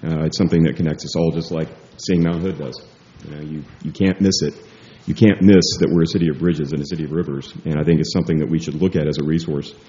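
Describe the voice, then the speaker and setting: A man giving a nervous, halting public comment at a meeting, with a loud voice. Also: a bass voice, steady tone. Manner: nervous, halting, self-deprecating. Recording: echoey muffled meeting room.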